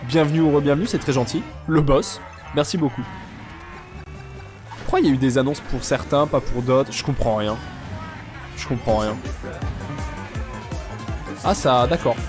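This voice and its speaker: A young man with a stuffy nose, chatting casually and joking deadpan with viewers while he streams a game. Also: a little high, moderate pace, pauses often, music in the background. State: congested, sick with sinusitis. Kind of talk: casual live-stream chat while gaming.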